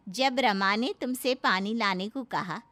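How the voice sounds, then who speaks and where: A woman playing a jealous, possessive middle-aged mother-in-law in an animated moral story, demanding and suspicious in manner. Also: medium pitch, unhurried, soft background music. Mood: accusing.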